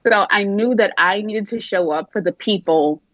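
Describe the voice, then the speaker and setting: A woman's voice: medium pitch, moderate pace, no room sound, muffled recording. A woman from Trinidad, a guest video coach, speaking candidly and laughing between thoughts. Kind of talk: casual interview chat.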